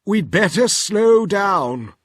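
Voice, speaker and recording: A man's voice: high-pitched, big pitch swings, slow, no room sound, studio quality, pitched above the performer's own voice, full character voice. A man voicing a forgetful, mishearing old professor in a storybook read-aloud, fussy and exclaiming. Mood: muddled.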